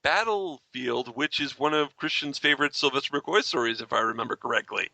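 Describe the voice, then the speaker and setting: A man's voice: medium pitch, brisk, creaky, pushed and bright, no room sound. A man chatting casually as a panelist on a fan podcast.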